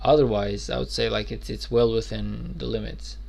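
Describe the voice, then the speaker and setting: A man's voice: on the low side, flat delivery, moderate pace, vocal fry, darkish tone. A man in his twenties chatting loosely while streaming a game, rambling with lots of fillers and restarts. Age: 20s. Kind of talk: casual live-stream chat.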